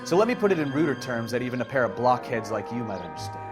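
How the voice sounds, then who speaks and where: A man's voice: mid-range voice, sing-song, fast talker, lightly acted. A bullying, sarcastic cop from a crime game, played by a man. His mid-range voice is blunt and taunting. Faint music plays underneath. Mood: contemptuous, threatening. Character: brash, foul-mouthed, bullying male police detective. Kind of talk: dramatic video game acting.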